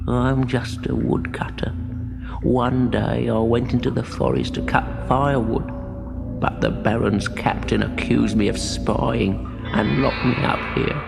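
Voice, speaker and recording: A man's voice: medium pitch, fairly even intonation, unhurried, gravelly, big-room sound, music in the background. A man voicing a bedraggled, plaintive old woodcutter held prisoner, in a children's audiobook. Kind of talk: audiobook character voice.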